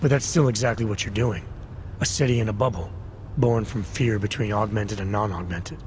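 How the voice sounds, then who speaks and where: Game lead, male man actor: lowish pitch, terse, dry, doubtful, guarded questioner. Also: a little fast, a little mellow, a little hiss or hum, natural voice. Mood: challenging. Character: guarded, skeptical, dry-witted male action game hero. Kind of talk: video game dialogue acting.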